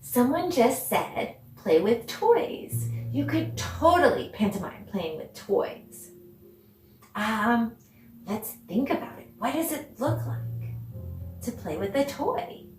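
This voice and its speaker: A woman's voice: a little high, very animated, takes it slowly, darkish tone, faint music behind. A woman teaching a children's dance lesson on video, speaking directly to pupils in an encouraging way, asking questions and giving praise. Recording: roomy echo, distant mic, background noise.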